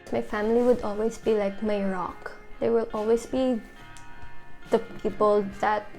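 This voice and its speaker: A young woman from the Philippines talks quietly and reflectively, pausing often and filling gaps with "like." Her voice sits at a middle pitch with a gravelly edge that drops into fry at the ends of phrases. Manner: soft-spoken, hesitant, many "like" fillers. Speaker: documentary interviewee. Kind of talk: reflective personal interview.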